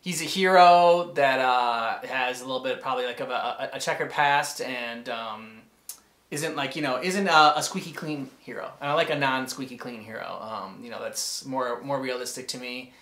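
A man in his thirties chatting casually as a reaction host, with a halting, enthusiastic delivery. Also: mid-range voice, fairly bright tone. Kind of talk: casual commentary chat. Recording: roomy indoor echo.

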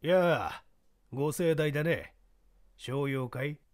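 抜け目なく意志の強い野球スカウトを、真剣な口調で演じる男性。. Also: male voice, a little high, takes it slowly, no echo, clean studio sound. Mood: feigning ignorance. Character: shrewd, determined male baseball scout.

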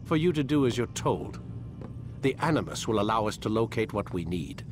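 Condescending, clinical older scientist, animated pitch. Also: male voice, normal speed, over music. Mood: cold, menacing. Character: cold, condescending older male scientist holding a captive. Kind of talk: video game cutscene acting.

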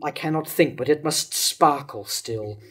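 An older man playing a grief-stricken, remorseful tyrant king in a staged reading of verse tragedy, declaiming in measured lines. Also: a little high, a bit bright, breathy edge. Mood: remorseful despair. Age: older, around 70. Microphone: lectern microphone.

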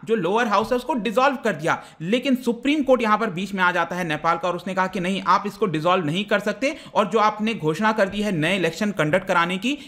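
A man's voice: sits high, a bit bright. A young man explaining news to exam students over slides, speaking directly to viewers and mixing in English terms. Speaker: current affairs teacher.

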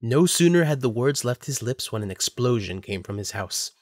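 A man's voice: mid-range voice, expressive, moderate pace, darkish tone, dry recording, studio quality. A man narrating a scripted story for an audio drama.

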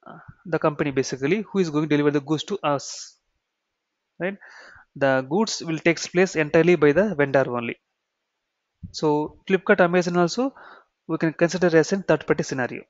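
A man with an Indian accent giving an explanatory software tutorial, repeating key phrases and checking in with "okay" and "right". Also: a little high, brisk, long pauses, dry recording. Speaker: software trainer. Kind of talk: explanatory screen-share tutorial. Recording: dull, muffled lo-fi sound.

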